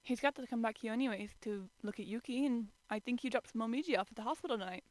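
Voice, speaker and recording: A woman's voice: fairly high pitch, fast, no room sound, natural voice, low-quality recording. A woman voicing a playful, breezy adult man with a teasing, singsong manner, in an amateur story reading. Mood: breezy, evasive.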